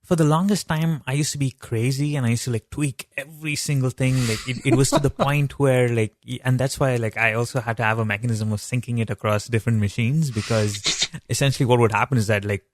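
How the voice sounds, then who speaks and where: A man's voice: mid-range voice, fairly even intonation, fairly quick, no echo, studio quality. A young man hosting a podcast, asking questions in a rambling, enthusiastic way, full of "like" and fillers. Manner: enthusiastic, rambling, heavy use of "like". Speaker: podcast host. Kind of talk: casual interview chat.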